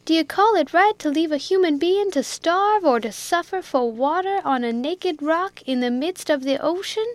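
A woman's voice: high-pitched, a little slow, no room sound, pitched above the performer's own voice. A woman voicing a fierce indignant sailor man. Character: indignant male deputy steward, passionate.

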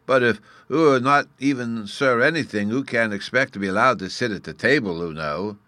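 Guy doing a little fairy boy, storybook baby talk, pitched way up, earnest n kinda snooty, bright and dry sound. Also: moderate pace, no room sound, lightly acted. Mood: pitying. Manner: childish baby talk, mispronouncing words. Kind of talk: storybook character reading.

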